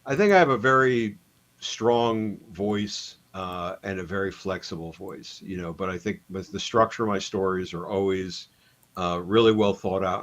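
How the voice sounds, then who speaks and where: A man's voice: low-pitched, fairly bright tone. A man, a comic book writer, talking casually as a guest in an interview, reflective and full of asides.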